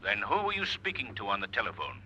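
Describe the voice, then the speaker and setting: An actor playing an invisible male avenger who speaks in a commanding, taunting, softly menacing way, in 1940s radio style. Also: on the low side, wildly swooping pitch, quick pace, a little hiss or hum, exaggerated voice, low-quality recording.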